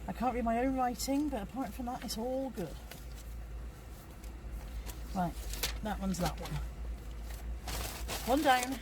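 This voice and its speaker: Woman chatting to her vlog, bit gravelly and worn out, noisy background. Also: fairly high pitch, slow pace, pauses often. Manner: chatty, self-deprecating, rambling. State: tired and hot. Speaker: vlogger. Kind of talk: casual vlog chat. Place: parked car.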